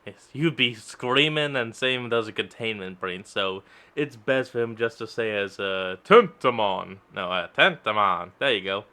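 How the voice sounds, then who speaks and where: A man's voice: lowish voice, unhurried, sharp tone. A man hosting a reaction video, making casual commentary with rambling, self-correcting asides.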